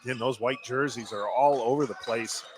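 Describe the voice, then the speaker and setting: A man's voice: medium pitch, a little fast, a little hiss or hum, rough recording. A man giving conversational color commentary and analysis during a live basketball radio broadcast. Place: at a basketball game in a gym.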